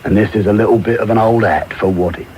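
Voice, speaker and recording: Through noisy medium-wave static comes a low-voiced villain played by a male actor. He is gruff and threatening, a brutal housebreaker from a radio play who gives orders and expects obedience. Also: fast talker, darkish tone, natural voice. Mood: menacing. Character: brutal, menacing London housebreaker, a grown man. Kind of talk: radio drama acting.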